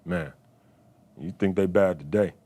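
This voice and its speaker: Low-voiced man performing spoken word. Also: warm tone, slightly rough. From Philadelphia, United States. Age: late 30s. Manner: dramatic, deliberate, emphatic phrasing. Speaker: poet performing his work.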